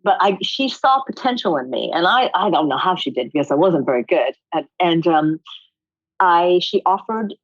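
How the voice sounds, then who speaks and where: A middle-aged woman with a lowish voice tells her story in a relaxed interview, lively and expressive, breaking into laughter often. The audio is dry and slightly muffled, like a remote call. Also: moderate pace, no room sound. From United States, Rhode Island. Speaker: guest choreographer. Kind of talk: casual interview conversation.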